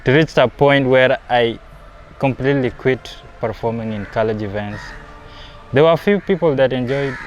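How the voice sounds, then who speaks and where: A young man from South Sudan telling his story in a documentary interview. He is reflective and grows animated when he talks about performing. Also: mid-range voice, normal speed, highs cut off, a little hiss or hum. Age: young adult.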